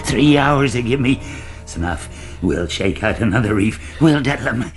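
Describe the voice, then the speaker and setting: An actor playing a fierce, drunken old sea captain in a radio play, gruff, explosive and bullying. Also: male voice, medium pitch, expressive, normal speed, over music, lightly acted. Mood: agitated, desperate.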